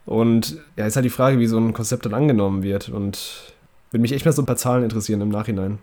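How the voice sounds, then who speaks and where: A young adult man with a head cold, hosting a casual podcast chat in a relaxed, conversational way. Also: fairly low pitch, fast talker. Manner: relaxed, conversational, with fillers and restarts. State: congested from a cold. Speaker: podcast host.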